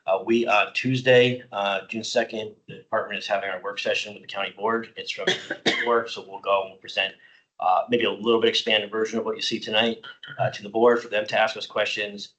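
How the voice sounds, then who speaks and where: A middle-aged man, slightly hoarse, presenting a parks budget plan at a public commission meeting, explaining informally with many "uh" fillers. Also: fairly low pitch, fairly bright tone, poor audio. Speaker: county parks department staff presenter.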